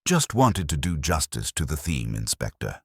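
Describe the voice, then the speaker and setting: A nervous, fastidious window dresser, read by a male narrator in a mystery story. The voice is very low and swings up and down, with anxious, precise, deferential phrasing. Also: sing-song, breathy edge, dry recording, clean studio sound, heavily acted. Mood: nervous, defensive.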